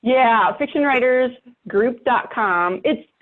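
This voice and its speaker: A woman's voice: fairly high pitch, animated, slow pace, crowd noise. A woman podcaster chatting casually and emphatically, repeating herself to drive points home. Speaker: podcast host and writer. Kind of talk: casual chat. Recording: thin, phone-like call audio.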